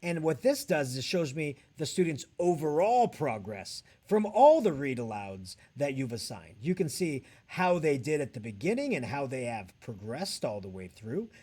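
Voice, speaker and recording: Male edtech trainer, medium pitch, explaining on-screen steps in a tutorial. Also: very animated, normal speed, few pauses, warm tone, husky.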